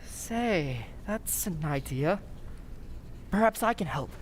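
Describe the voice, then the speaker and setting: A woman's voice: animated, slow pace. A woman playing an earnest, polite, nervous young townsman in old-time radio drama style, with hesitant delivery. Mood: helpful. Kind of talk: 1940s radio drama acting. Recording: faint rumbling background noise.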